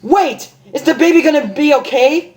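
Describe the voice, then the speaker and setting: A man voicing an excitable, dramatic, lovestruck young female pegasus pony in a story reading. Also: very high-pitched, normal speed, pitched above the performer's own voice. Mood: frantic. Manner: yelping, emotional, dramatic.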